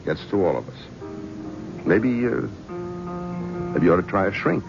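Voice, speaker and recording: A wry, skeptical friend. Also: male voice, low voice, lively intonation, unhurried, creaky, heavily acted. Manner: wry, reassuring, prodding. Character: skeptical, worldly male friend. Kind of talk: 1970s radio drama acting. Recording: dull old radio broadcast with music.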